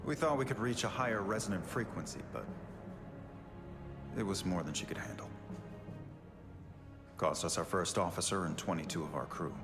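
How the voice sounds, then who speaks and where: A man playing an earnest, weary starship officer in a video game, speaking in a measured, sincere, formal way. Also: deep voice. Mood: sombre pride. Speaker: Starfleet officer character in a game. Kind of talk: cinematic video game dialogue. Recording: game audio with prominent music underneath.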